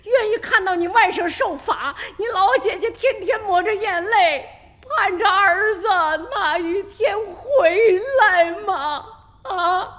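A woman's voice: very high voice, slow pace, fairly bright tone, long reverb tail, lo-fi sound, full character voice. An actress playing a stubborn old village mother in a radio drama, emphatic and emotional, pleading for her son. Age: older. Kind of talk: Chinese radio drama acting.

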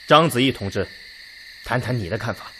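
A man's voice: mid-range voice, lively intonation, brisk, sharp tone, slight background noise, poor audio. A man playing an authoritative Red Army leader chairing a meeting in a radio drama, prompting others in an open but commanding way. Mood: authoritative, inviting. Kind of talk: Chinese radio drama acting.

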